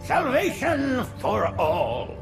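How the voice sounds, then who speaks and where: An actor playing a frail, ancient, zealous alien priest in a video game, with a thin old voice and a grandiose preaching manner. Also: male voice, very high-pitched, wildly swooping pitch, drawn-out words, vocal fry, full character voice. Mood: reverent zeal. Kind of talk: cinematic video game acting. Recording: music behind voice.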